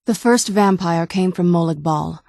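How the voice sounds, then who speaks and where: A woman's voice: fairly low pitch, unhurried, vocal fry, darkish tone, no echo. A woman playing a youthful-seeming ancient vampire noblewoman in a video game, wry and guarded, with a dry conversational delivery. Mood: wry, reflective. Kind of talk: video game character dialogue.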